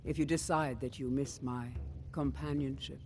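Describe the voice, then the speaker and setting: A man's voice: mid-range voice, fairly even intonation, normal speed, over music, lightly acted. An actor voicing a solemn, lonely male adventurer offering companionship in a fantasy video game. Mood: wistful.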